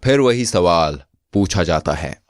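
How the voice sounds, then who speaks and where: A man narrating a scripted comic moral tale as an expressive storyteller. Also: low-pitched, unhurried, no echo, clean studio sound.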